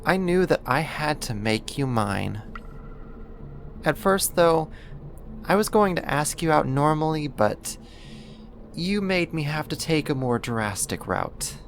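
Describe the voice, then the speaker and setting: An actor playing an obsessive, possessive neighbor turned kidnapper in an ASMR roleplay, speaking in a deliberate, intimate, controlling way. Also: mid-range voice, lively intonation, a little hiss or hum. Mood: obsessive, justifying.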